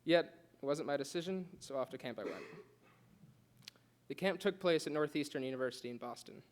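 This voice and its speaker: Young male student, quick delivery, earnest speech with a light stutter. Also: fairly high pitch, lively intonation, slight background noise. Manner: earnest, with occasional stutters and restarts. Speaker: student giving a class speech. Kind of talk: prepared speech read aloud.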